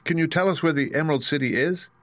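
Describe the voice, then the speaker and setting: A man's voice: a little high, quick pace, no echo, pitched above the performer's own voice, lightly acted. A man voicing a young, earnest and hopeful girl in a storybook reading.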